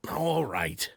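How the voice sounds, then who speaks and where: A shifty, cowardly game character, nervous and guilty. His voice is bright and tense, swinging widely in pitch. He hesitates and stammers through his words. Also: creaky, slight background noise, heavily acted. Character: nervous, evasive, cowardly man hiding guilt. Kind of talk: video game cutscene voice acting.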